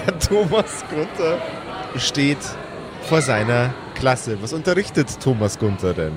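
A man's voice: mid-range voice, big pitch swings, a little slow, crowd noise, background noise. A man narrating an improvised tabletop role-playing game as game master, with playful, theatrical phrasing.

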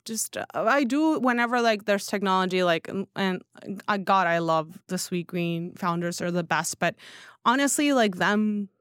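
A woman's voice: medium pitch, animated, normal speed, a bit bright, clear, resonant tone, no room sound, clean studio sound. A woman speaking as a podcast guest in casual, opinionated chat, rambling informally with lots of filler phrases. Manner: informal, rambling, peppered with "like" and "you know". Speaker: podcast guest, newsletter writer.

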